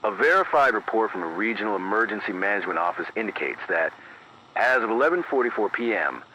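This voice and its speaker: Man acting as late-night emergency broadcaster, animated intonation, measured precise wording, grave, scripted horror bulletin, AM radio filter, faint noise. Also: on the low side. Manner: measured, formal, deliberate, precise. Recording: dull band-limited AM radio, faint noise.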